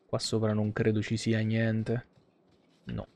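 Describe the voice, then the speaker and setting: A man's voice: lowish voice, monotone, slow, dark tone. A man in his thirties with an Italian accent doing casual, colloquial live gameplay commentary, swearing now and then. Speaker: video game streamer. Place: small indoor room.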